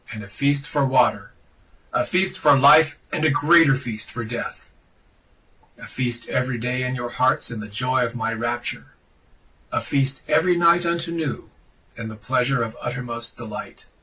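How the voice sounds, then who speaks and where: A man reading a religious text aloud in a solemn, dramatic style, declamatory and deliberate, with emphatic pauses. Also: medium pitch, pushed and bright, husky, distant mic, low-quality recording. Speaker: podcast reader of esoteric texts.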